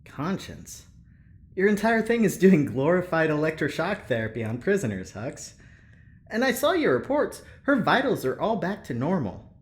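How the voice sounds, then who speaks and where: An actor plays a breezy young engineer in an audio drama. The mid-pitched voice swings up and down in a very animated way. The talk is casual, teasing and persuasive, stumbling a little and then bubbling over. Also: lightly acted. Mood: skeptical, prodding. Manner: casual, teasing, halting with bursts of enthusiasm. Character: upbeat, cheeky Midwestern engineer, breezy and persuasive. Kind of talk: naturalistic audio drama acting.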